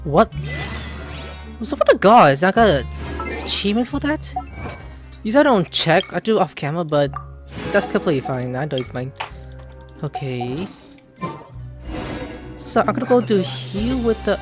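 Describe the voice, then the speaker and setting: A woman's voice: fairly low pitch, big pitch swings, moderate pace, pauses often. A woman hosting a gameplay video with casual, slangy, rambling commentary, reacting as she plays. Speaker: gaming video host. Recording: game music behind voice.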